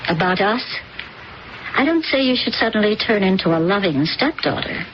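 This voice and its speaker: A woman's voice: medium pitch, expressive, close to an everyday voice. A woman playing a gracious, poised stepmother. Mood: earnest. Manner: gracious, measured. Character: poised stepmother, polite and conciliatory. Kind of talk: vintage radio drama acting. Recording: dull old radio recording with faint hiss.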